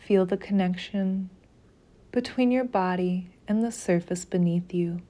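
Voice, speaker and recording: Female meditation guide: soothing, mellow tone, very slow measured delivery with long pauses. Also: lowish voice.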